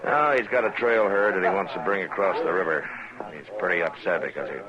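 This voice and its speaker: An actor playing a steady, weary town marshal in an old-time Western radio drama, dry and patient. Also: male voice, deep voice, fast talker, close to an everyday voice. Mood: calm, measured. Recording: dull vintage radio recording, saloon chatter behind.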